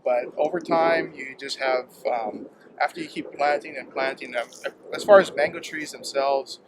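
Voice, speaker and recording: A man from Hawaii, a home fruit grower, talking in a halting, casual way, often repeating words and filling pauses with um, recorded outdoors in a yard. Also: mid-range voice, heavy background noise. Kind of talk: casual interview.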